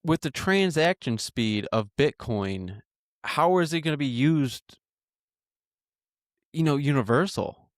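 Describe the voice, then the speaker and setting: A man in his twenties hosting a casual interview, asking informal questions in a halting way. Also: fairly high pitch, animated, no echo, clean studio sound. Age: 20s. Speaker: podcast host, interviewer.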